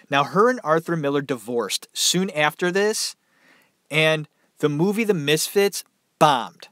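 A man's voice: fairly high pitch, fairly bright tone, dry recording, studio quality. Casual man rambling through a story. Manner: rambling, conversational, many fillers. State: dry mouth, tiring voice. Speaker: podcast host. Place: small room at home.

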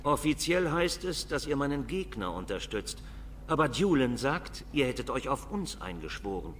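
A man voicing a guarded old dwarf lord in a video game, formal, measured and stern. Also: mid-range voice, big pitch swings, a bit bright, a little hiss or hum. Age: older.

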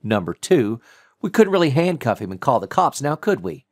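A man's voice: on the high side, sing-song, moderate pace, creaky, no room sound, clean studio sound, voice pushed up, full character voice. A man voicing a ruthless, wry male vigilante in an audiobook thriller, with sardonic, clipped reasoning. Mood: cold, sardonic.

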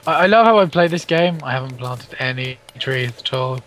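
A man's voice: mid-range voice, lively intonation, a little fast, fairly bright tone, a little hiss or hum. A man with an English accent chatting playfully while gaming, teasing his friend with sudden outbursts. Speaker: co-host playing a game. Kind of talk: casual gaming chat.

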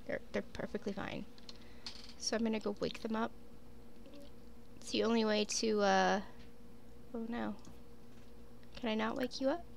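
A sniffly young woman gives slow, relaxed commentary over her gameplay, often talking straight to her little characters. Her voice is a touch high with a gravelly edge, and faint hum sits behind her. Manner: chatty, talks to her sims, frequent "like" and "um". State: sniffly with a cold. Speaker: gaming YouTuber. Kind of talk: casual let's play commentary.

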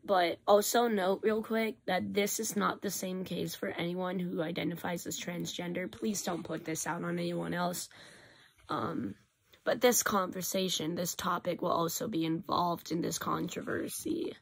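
A teenage girl, hoarse from a cough, talking casually and rambling into a webcam in her small bedroom. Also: fairly low pitch, normal speed. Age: teens. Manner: rambling, self-correcting, animated at times. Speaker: vlogger. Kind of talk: casual confessional vlog. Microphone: built-in webcam or phone mic, close.

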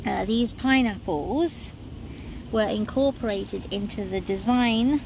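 A woman's voice: mid-range voice, takes it slowly, gravelly. A woman with a London accent guiding a walking tour outdoors, talking in a chatty way with tag questions. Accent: London English. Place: outdoors by a sunny riverside in a city. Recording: loud outdoor street noise. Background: city traffic and outdoor ambience.

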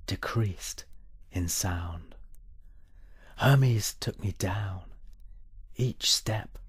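Very slow, husky, low-voiced man reading poetry. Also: no room sound. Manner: measured, solemn, deliberate pauses between short phrases. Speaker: poet reading own work. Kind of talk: poetry reading, dramatic monologue.

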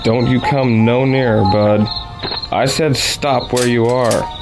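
Man acting a gravelly, stubborn country farmer. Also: medium pitch, steady tone, takes it slowly, over music. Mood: menacing. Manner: folksy, terse, defiant. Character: stubborn, grim armed farmer tracking through the night. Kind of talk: radio play acting.

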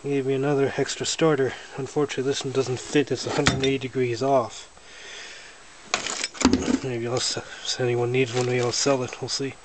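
A man casually showing off his snowmobile in a garage, talking in a relaxed, matter-of-fact way while filming with a handheld camera. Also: mid-range voice, fairly quick. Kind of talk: casual walkaround narration. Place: indoors, a garage or shed with windows. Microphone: handheld camera held by the speaker. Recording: noisy handheld camera audio.

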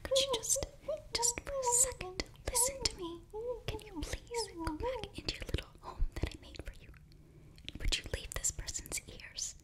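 A young woman whispering very close to the mic in an ASMR fantasy roleplay, halting, flustered and apologetic as a student sorceress. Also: very high voice, animated. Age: young adult. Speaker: ASMR performer. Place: small indoor bookshelf set. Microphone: very close ear-to-ear microphone.

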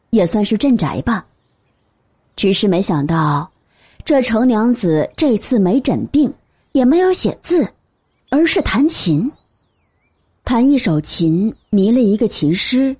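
A woman's voice: mid-range voice, darkish tone, breathy edge. A woman narrating a novel as scripted storytelling for an audiobook.

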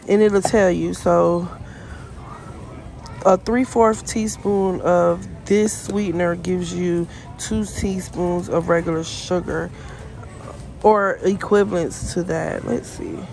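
A woman's voice: lowish voice, steady tone, slow pace, vocal fry, darkish tone. A woman filming a casual shopping vlog in a supermarket, chatting and thinking aloud as she reads labels. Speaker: vlogger. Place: supermarket aisles. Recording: noisy store background.

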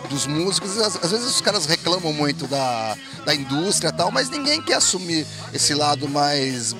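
Animated, joking male interviewer. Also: on the high side, moderate pace. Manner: jokey, teasing, theatrical outbursts. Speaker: show host. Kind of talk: playful casual interviewing. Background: band music in a rehearsal space.

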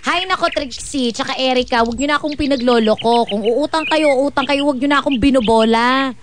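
A woman acts out a long-suffering shopkeeper mother in a radio play. The voice is high and plaintive, with plenty of expression, over a noisy background. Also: brisk, lightly acted. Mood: wary exasperation. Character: long-suffering mother, a small-store keeper. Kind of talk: radio drama acting.

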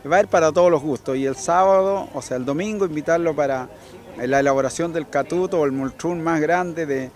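A man's voice: a little high, brisk, darkish tone. A Chilean man inviting the public in an outdoor interview into a handheld mic. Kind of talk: on-camera interview. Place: outdoor fair. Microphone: handheld news mic.

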